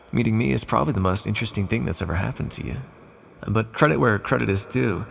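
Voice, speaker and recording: A man voicing an ancient, imprisoned villain in an ASMR roleplay, condescending yet lonely and flirtatious, with smug, articulate, softly teasing delivery. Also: a little hiss or hum. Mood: amused, teasing. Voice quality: deep, gravelly, husky. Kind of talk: ASMR roleplay monologue to the listener.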